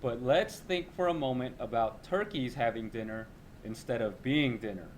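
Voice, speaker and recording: A man's voice: medium pitch, moderate pace. Male teacher reading aloud, background noise. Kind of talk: read-aloud of a children's nonfiction text.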